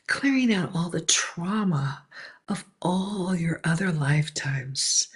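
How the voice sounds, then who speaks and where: A woman leads a guided healing meditation, her voice breathy and mostly half-whispered. She speaks very slowly, drawing out each word and pausing between repeated phrases. Her pitch sits a little low for a woman.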